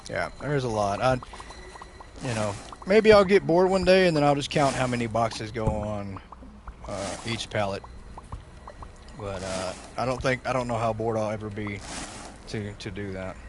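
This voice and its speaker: A man hosting a gaming channel, chatting casually over gameplay in a rambling, jokey way full of fillers, his voice going slightly hoarse. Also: on the low side, normal speed. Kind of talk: casual let's-play commentary. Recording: noisy background under the voice.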